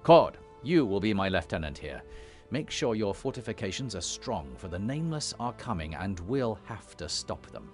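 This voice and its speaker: An actor playing a resolute emissary and elder in a fantasy video game, firm, serious and authoritative. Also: male voice, fairly low pitch, very animated, moderate pace, a little mellow, faint music behind. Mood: stern. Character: commanding male dragon-blooded emissary and elder, resolute hero. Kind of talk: dramatic video game acting.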